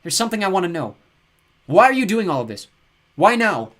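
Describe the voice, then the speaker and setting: A man voicing a frightened but defiant boy who talks shakily and shouts back. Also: high voice, very animated, brisk, voice pushed up. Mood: tense. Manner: shaky, pleading and shouting. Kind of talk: audiobook character voice.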